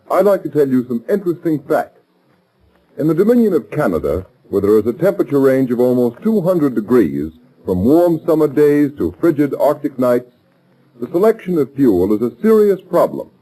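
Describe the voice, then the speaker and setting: A man's voice: mid-range voice, warm tone, low-quality recording. An American man announcing a 1930s radio advertisement, polished, formal and emphatic. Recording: old 1930s radio broadcast recording.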